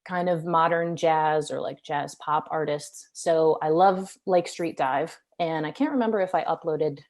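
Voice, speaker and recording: A woman's voice: deep voice, measured pace, darkish tone. A young woman, a singer-songwriter, chatting casually in an interview, rambling with many fillers.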